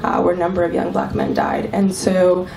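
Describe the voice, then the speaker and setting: Panelist, woman with a cold, slightly low voice, thoughtful with many ums, distant mic in an echoey room. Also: flat delivery, normal speed, warm tone. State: has a cold. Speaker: panelist, arts executive director. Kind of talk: panel talk to a live audience. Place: club event room. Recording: echoey room, mic from far off, faint hiss.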